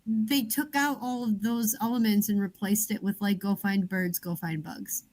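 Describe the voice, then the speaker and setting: A woman's voice: medium pitch, fairly even intonation, moderate pace, a little mellow, rough recording. A woman with a Chicago American accent chatting casually as a podcast co-host. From Chicago area, United States. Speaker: book club podcast co-host. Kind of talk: casual chat.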